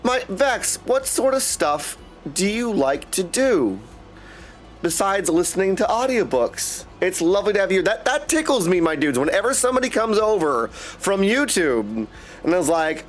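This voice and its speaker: A man's voice: sits high, big pitch swings, normal speed, faint music behind. A man hosting a casual livestream, chatting effusively with viewers, often exclaiming and calling them dudes. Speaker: live streamer and host.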